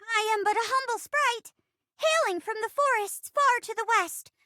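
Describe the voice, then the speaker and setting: An actress voicing a small, earnest wood sprite in a stagey fantasy play inside a video game, with grand, formal delivery. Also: female voice, extremely high pitch, moderate pace, bright, forceful tone, a little hiss or hum. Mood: earnest, heroic.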